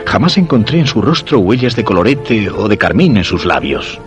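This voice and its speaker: Deep-voiced, brooding suspicious husband. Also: male voice, very animated, muffled recording, over music. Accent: Castilian Spanish. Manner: measured, literary. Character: brooding, suspicious husband narrating a dark suspense tale. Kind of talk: dramatic radio narration with acted dialogue.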